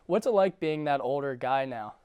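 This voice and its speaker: A man's voice: medium pitch, animated, normal speed, a little mellow, clear, resonant tone, no room sound. A young man hosting a student sports show, asking polished interview questions in a studio. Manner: fluent, polished presenter delivery. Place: studio set.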